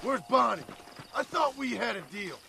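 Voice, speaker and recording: A man's voice: very high-pitched, lively intonation, moderate pace, full character voice. An actor playing a tough, plain-spoken gunman in a Western video game, blunt and dry, with an American Western accent. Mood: demanding. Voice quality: gravelly. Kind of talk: video game cutscene acting.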